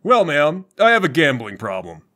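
A man's voice: on the high side, wildly swooping pitch, normal speed, pushed and bright, slight background noise. A man playing a tabletop game, joking casually and slipping theatrically into his character. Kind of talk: casual comic banter and in-character roleplay.

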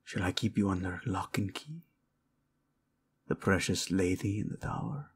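A man's voice: sits low, lively intonation, normal speed, slight background noise. A man playing a possessive, devoted prince in a romantic audio roleplay, murmuring formal words with Spanish endearments to his wife. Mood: tender, possessive. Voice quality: husky, gravelly, breathy in places.

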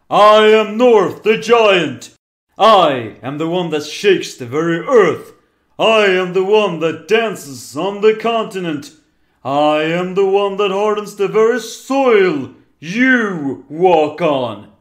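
A man doing a booming earth giant. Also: animated, slow pace, fairly bright tone, echoey room, full character voice. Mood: proud. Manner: booming, declamatory. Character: proud giant of earth, grand and immovable.

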